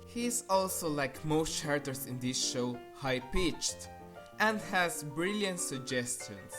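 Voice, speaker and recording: A woman's voice: low voice, expressive, slow. A woman narrating an opinionated countdown review in a blunt, sarcastic and casually crude manner. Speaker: video reviewer. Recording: music behind the voice. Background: music.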